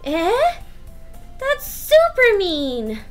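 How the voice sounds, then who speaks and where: A woman's voice: very high-pitched, huge pitch swings, extremely slow, faint music behind, pitched above the performer's own voice, exaggerated voice. A woman voicing a sweet, playful, childish teenage schoolgirl with a cutesy, teasing manner in a visual novel. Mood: pouting. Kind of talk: visual novel read-aloud.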